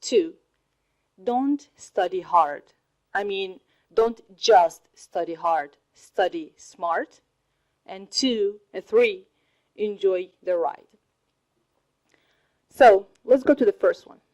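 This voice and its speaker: A woman in her thirties whose first language is Arabic speaks slowly and deliberately to a college audience. Her pitch sits at a middle level, and she laughs now and then between points. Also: darkish tone, poor audio. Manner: slow, deliberate, laughs often. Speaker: student speaker. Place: college hall.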